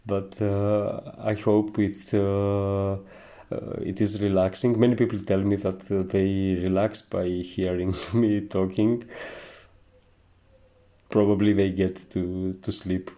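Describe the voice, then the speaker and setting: A man with a thick Greek accent talks through a painting lesson at an unhurried pace. His voice is low and mellow, and he hesitates often with soft fillers. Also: little pitch movement. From Greece. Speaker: painting teacher. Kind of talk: relaxed narrated painting tutorial.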